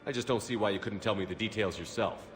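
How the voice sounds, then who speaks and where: A man's voice: on the low side, fast, natural voice. A man acting as a tough, assured military commander in a video game, with a blunt, dry delivery. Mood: stern, blunt. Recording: music under the dialogue.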